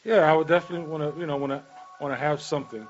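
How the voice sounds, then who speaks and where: A man's voice: on the high side, steady tone, rapid-fire, soft background music. A man hosting a radio talk show in casual, rambling, repetitive chat. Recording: dull lo-fi radio stream.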